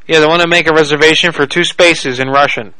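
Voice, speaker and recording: A man's voice: a little high, fairly even intonation, quick pace, pushed and bright, no echo. A man making a prank phone call in a crude, pushy, mock-serious manner. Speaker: prank caller.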